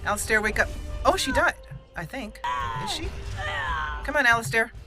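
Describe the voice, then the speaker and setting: A young woman hosting a let's-play, chatting excitedly through a battle and roleplaying dialogue. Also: fairly high pitch, normal speed, music in the background. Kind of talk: casual game commentary and roleplay.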